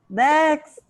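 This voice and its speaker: A woman playing a weary, exasperated bouncer at heaven's gate in improvised comedy, curt and deadpan. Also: sits high, voice pushed up, full character voice.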